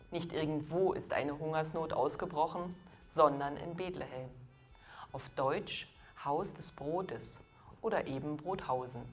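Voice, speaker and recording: A woman in her fifties gives a prepared sermon in a low voice. Her phrasing is measured and deliberate, broken by pauses. Faint music plays behind her. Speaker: preacher. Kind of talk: scripted sermon.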